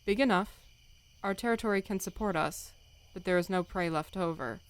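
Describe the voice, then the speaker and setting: A woman voicing a stern, dignified female cat who leads a clan, in a measured, commanding audiobook drama style. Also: medium pitch, natural voice. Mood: guarded. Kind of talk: audiobook fandub acting.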